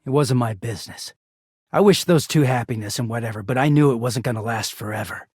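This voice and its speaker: A man's voice: medium pitch, fairly quick, husky, no room sound, studio quality, natural voice. A man voicing a bitter, exasperated, sardonic, foul-mouthed young male living-laundry character in a video game. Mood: bitter, resentful. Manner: sardonic, foul-mouthed, swinging from muttering to shouting.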